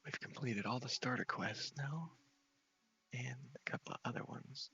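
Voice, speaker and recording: A man giving soft, whispery ASMR commentary over a game, casually thinking aloud in a low-key way. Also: moderate pace, muffled recording. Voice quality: soft, breathy, often whispery. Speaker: gaming video host.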